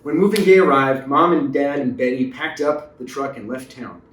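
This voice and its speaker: A man's voice: medium pitch, moderate pace, fairly bright tone, a little hiss or hum. A man reading a children's story aloud as a narrator, recorded in a roomy space away from the mic. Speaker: storybook reader. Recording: roomy echo, distant mic.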